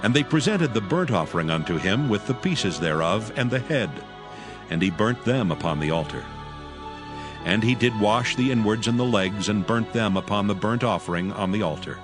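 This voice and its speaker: A man narrating scripture from a script in a measured, formal manner. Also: on the low side, animated. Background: music.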